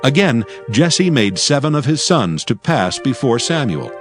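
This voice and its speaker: A man's voice: medium pitch, big pitch swings, unhurried, soft background music. A man narrating scripture from a script in a solemn, formal storytelling manner.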